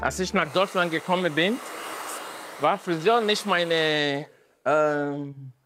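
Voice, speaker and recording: A man's voice: fairly high pitch, a bit bright, soft background music. A man from Nigeria, a barber and painter, speaking carefully with small halts. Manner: careful, halting speech.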